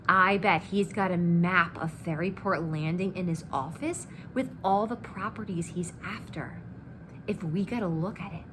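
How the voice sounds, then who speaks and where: A woman's voice: mid-range voice, a bit bright, close to an everyday voice. A woman voicing a cocky, greedy man, a boastful storybook giant killer with a breezy, persuasive way of talking. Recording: clear background noise.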